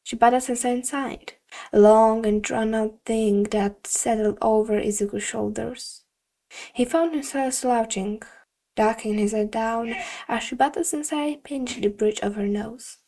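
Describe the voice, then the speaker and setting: Woman narrator, high-pitched voice, very unhurried pace, measured expressive storytelling, scripted fiction reading. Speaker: podfic reader.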